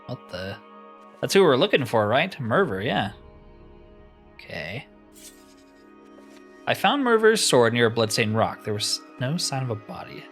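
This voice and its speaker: A man's voice: mid-range voice, sing-song, unhurried, pauses often, fairly bright tone, faint music behind. A man giving casual gameplay commentary on a fantasy roleplay game, musing aloud in a wry, conversational way.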